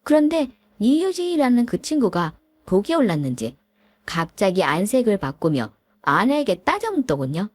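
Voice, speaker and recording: A woman voicing a middle-aged husband who calmly retells how his wife fought an old classmate, in polite storybook narration. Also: mid-range voice, dry recording. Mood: recounting. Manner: measured, recounting in polite speech.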